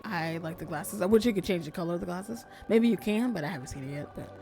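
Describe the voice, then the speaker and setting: Soft-spoken woman streamer, lowish voice. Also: fast, over music. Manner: chatty, soft-spoken, often drops to near whisper. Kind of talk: casual gameplay commentary. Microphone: desk streaming mic.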